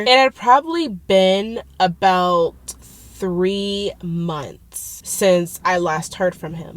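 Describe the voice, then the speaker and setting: A woman's voice: fairly low pitch, no echo. A young woman with a congested, nasal voice, telling a personal story in a casual, profane vlog. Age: young adult. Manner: casual, profane, quick bursts. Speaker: vlogger.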